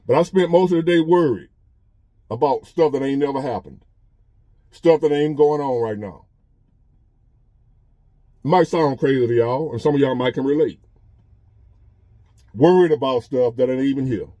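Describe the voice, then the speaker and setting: A man's voice: medium pitch, moderate pace, long pauses, dark tone, no echo. Earnest man in his fifties. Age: 50s. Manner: earnest, repetitive, conversational preaching. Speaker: vlogger. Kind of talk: casual motivational monologue. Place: parked truck.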